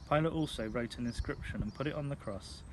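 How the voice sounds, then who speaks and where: A man with a medium-pitched voice gives a measured scripture reading. The recording has clear outdoor noise and clicks behind him. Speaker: scripture reader.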